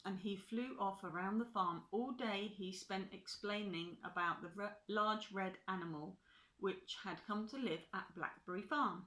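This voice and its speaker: Grandmother type, older woman, unhurried storybook read with homely asides, roomy echoing sound. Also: medium pitch, a bit bright. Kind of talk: children's story read aloud with chatty asides. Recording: echoey room.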